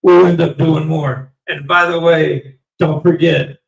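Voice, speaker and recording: Slow, gravelly man hosting a stream. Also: on the high side, little pitch movement, echoey room, far from the mic, low-quality recording. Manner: rambling, slow and halting with many fillers. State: voice getting raspy. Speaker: livestream host. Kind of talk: casual live stream sign-off.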